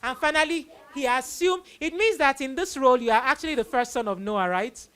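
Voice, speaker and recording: A woman with a Nigerian accent speaks to children as an event host. Her voice is high and bright, with a forceful edge. She tells stories in an exclamatory way, full of quoted dialogue. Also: a little fast, smooth. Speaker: children's Bible story host. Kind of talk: addressing children at a live event.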